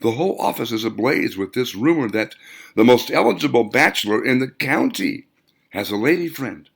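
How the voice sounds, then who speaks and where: Man reading as a gushing, gossipy married woman, lively pitch. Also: normal speed, vocal fry, slightly rough, exaggerated voice. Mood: gossipy, admiring. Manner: gossipy, effusive. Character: chatty, gushing, nosy married woman at a dance.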